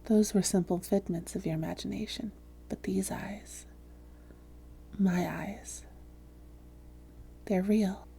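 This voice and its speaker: A woman playing a seductive, smug vampire who poses as a hypnotherapist, speaking softly and slowly, often whispering, in an ASMR roleplay. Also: medium pitch, steady tone, a little hiss or hum. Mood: soothing, hypnotic. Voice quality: soft, breathy, often whispered. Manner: slow, coaxing, deliberate.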